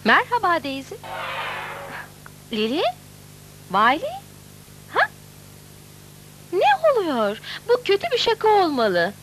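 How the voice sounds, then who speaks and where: A headstrong young girl in a dubbed cartoon, extremely high and bright. Her indignant speech leaps around in pitch, over a clearly noisy old recording. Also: huge pitch swings, slow, pauses often, full character voice. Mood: puzzled, annoyed.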